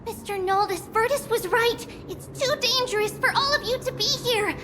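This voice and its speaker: Very high-pitched, earnest little priestess girl. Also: moderate pace, slight background noise. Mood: earnest, pleading. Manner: earnest, formal, speaks as "we". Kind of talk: video game voice acting. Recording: loud game music underneath.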